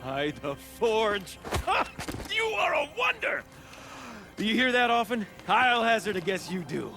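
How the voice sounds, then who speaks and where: An actor playing an eccentric, chatty old tinkerer in a video game, with florid, theatrical, rambling speech. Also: male voice, high-pitched, sing-song, a little slow, over music, full character voice. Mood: delighted. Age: older. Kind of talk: video game character acting.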